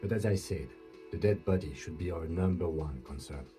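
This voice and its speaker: An actor playing a calm, restrained police lieutenant in a video game, speaking in a measured, formal, matter-of-fact way. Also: male voice, low voice, faint music behind, close to an everyday voice. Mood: measured, dry.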